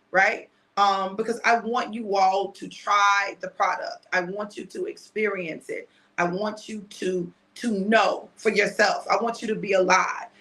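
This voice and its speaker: A woman in her fifties, chatty and repetitive, mixing a prophetic word with a casual sales pitch and often asking "right?". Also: sharp tone. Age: 50s. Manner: chatty, repeats phrases, asks "right?". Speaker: preacher selling a product.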